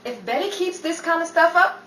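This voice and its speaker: A woman's voice: very high voice, expressive, moderate pace. A woman playing a dry, wisecracking 1930s story writer in old-time radio comedy. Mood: wry. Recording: distant, echoey, noisy audience recording.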